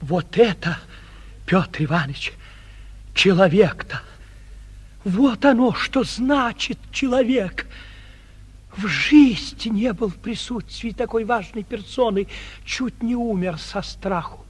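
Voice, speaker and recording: An actor playing an awestruck, gossipy small-town gentleman, flustered and marvelling, in a theatrical 1940s radio play. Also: high-pitched, expressive, takes it slowly, husky, heavily acted.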